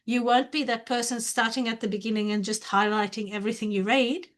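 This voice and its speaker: A woman's voice: on the high side, fairly even intonation, fairly quick. A woman whose first language is not English, giving a university lecture in an earnest, explanatory way and posing rhetorical questions to her students. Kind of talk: lecture on academic reading.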